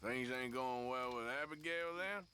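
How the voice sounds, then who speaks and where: An actor playing a gruff, terse American outlaw cowboy with a gravelly voice in a western video game. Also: male voice, medium pitch, moderate pace, pushed and bright, a little hiss or hum, lightly acted. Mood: gruff, low-key.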